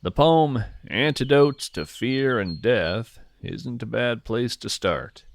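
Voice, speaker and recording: A man hosting a scripted podcast, narrating whimsical nature fiction and poetry in an earnest, deliberate, conversational way. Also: medium pitch, expressive, gravelly, long reverb tail, slight background noise.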